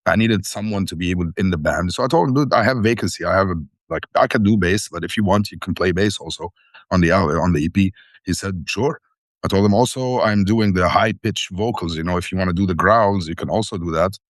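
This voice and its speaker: Deep-voiced man, dry sound. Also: a little fast, no room sound. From Syria. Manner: rambling, earnest, restarts often. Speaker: band founder and vocalist. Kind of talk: interview guest, casual storytelling. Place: living in the Netherlands.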